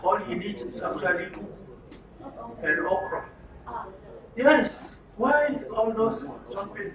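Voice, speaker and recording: A man's voice: high-pitched, lively intonation, slow. A man with a Nigerian accent giving an interactive lecture to an audience, emphatic and often putting questions to the listeners. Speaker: speaker at a training session. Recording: roomy, distant mic with background noise.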